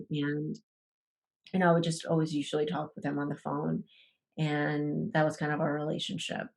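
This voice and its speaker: Low-voiced woman telling her story haltingly. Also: creaky, darkish tone. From Ecuador. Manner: hesitant, many fillers and restarts. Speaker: podcast guest. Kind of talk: personal storytelling.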